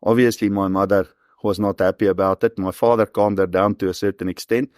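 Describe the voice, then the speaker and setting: A man's voice: low voice, steady tone, a little fast, a little mellow, smooth, no room sound, studio quality. A man in his forties with a South African accent, telling stories in an easygoing, conversational way as an interview guest. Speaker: professional hunter and former soldier, interview guest.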